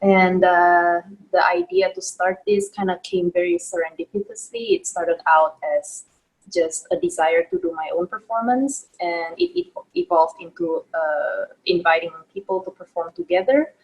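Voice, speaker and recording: A woman's voice: on the low side, animated, normal speed, slightly rough, low-quality recording. A woman from Indonesia introducing herself on a group video call in an informal, hesitant way. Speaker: performance artist and project manager.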